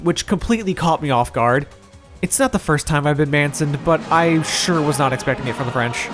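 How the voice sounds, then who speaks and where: A man narrating a scripted video game review in a wry, opinionated, casually profane manner, as the reviewer himself. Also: on the high side. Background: music.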